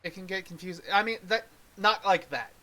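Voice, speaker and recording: Bright, higher-voiced man chatting casually. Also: a little fast. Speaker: podcast co-host reviewer. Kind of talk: casual film review chat.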